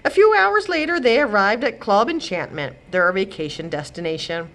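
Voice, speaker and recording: A woman reading a children's chapter book aloud as a storyteller, with expressive delivery. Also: medium pitch, normal speed. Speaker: librarian host and storyteller. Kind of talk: children's read-aloud narration.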